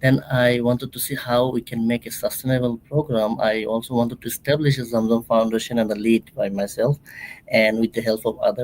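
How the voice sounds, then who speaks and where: A man, a nonprofit founder telling his story in an interview, halting and full of fillers and restarts. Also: medium pitch, darkish tone, poor audio. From Bangladesh.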